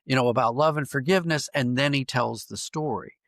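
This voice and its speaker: A man answering interview questions conversationally as a writer, thoughtful and halting, circling back to rephrase as he goes. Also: medium pitch, vocal fry, fairly bright tone, dry recording, clean studio sound. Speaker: podcast guest, writer and dramatist.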